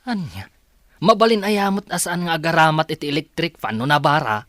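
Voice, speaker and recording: Animated, grumbling drinker played by a male actor. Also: a little high, fairly quick, no echo, clean studio sound. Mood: grumbling, defensive. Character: grumbling hard-drinking man, defensive, fed up with gambling. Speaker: actor in an audio drama.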